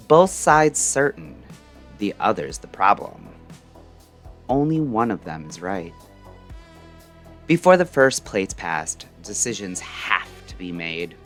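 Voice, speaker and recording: A man delivering a scripted, introspective late-night radio monologue in measured, deliberate short phrases. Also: fairly low pitch. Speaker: narrator and host of a late-night radio style audio show. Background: music.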